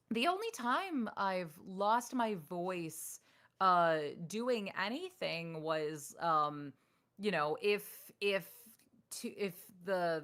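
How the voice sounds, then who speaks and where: A woman voice actress in a casual interview, talking frankly and giving advice. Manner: animated, acts out quoted people in higher voice. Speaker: voice actress guest.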